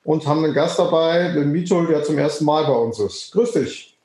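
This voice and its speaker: Higher-voiced male host in an echoey room. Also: a little fast, a little mellow. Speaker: podcast host. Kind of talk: podcast interview. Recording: roomy echo.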